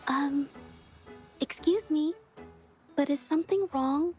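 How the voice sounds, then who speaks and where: A woman's voice: high voice, slow pace, a little mellow, faint music behind. A woman playing a shy, apologetic young painter in a video game, with a hesitant, stammering, self-effacing manner. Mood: flustered, timid. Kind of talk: video game character dialogue.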